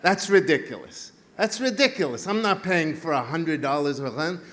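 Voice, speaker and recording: A man's voice: fairly high pitch, animated, normal speed, fairly bright tone. A man doing an indignant bakery customer. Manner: incredulous, complaining. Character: indignant male customer haggling over pastry.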